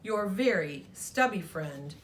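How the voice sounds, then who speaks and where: A woman's voice: on the low side, animated, slow, a little hiss or hum. A woman voicing a short, worn-out blue crayon from a storybook, politely and wearily complaining. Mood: weary, appreciative.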